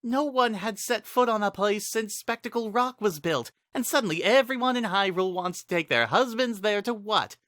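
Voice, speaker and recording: A brash young sorceress from a fantasy storybook, as a male narrator puts her on. She is playful and sardonic, with a raised, bright voice and a teasing edge. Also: high voice, expressive, normal speed, few pauses, no echo, clean studio sound, voice pushed up. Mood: exasperated. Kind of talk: dramatic storybook reading.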